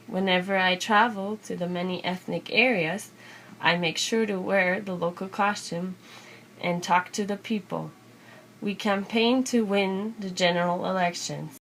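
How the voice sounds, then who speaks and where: A woman reading a scripted first-person biographical narration in a measured, simply phrased storytelling way. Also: medium pitch, a bit bright, low-quality recording. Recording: music behind the voice. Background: background music.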